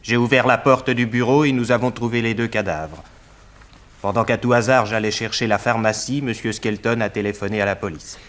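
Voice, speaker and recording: A man's voice: on the low side, a little fast, a bit bright, close to an everyday voice. A man playing a deferential household servant, formal and matter-of-fact, in a 1960s French radio drama. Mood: sober, factual. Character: deferential male household servant, plain and loyal.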